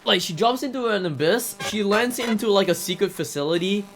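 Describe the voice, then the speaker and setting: A man's voice: sits high, expressive, brisk. A man giving casual live commentary as a speedrunner, chatty and repeating himself as he goes. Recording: loud game music behind. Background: video game music.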